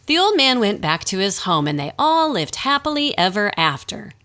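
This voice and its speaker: A woman acting as the narrator of a radio drama, telling a scripted story in a slightly formal, expressive storybook style. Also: mid-range voice, normal speed. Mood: storytelling. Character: storybook narrator, wry and unhurried.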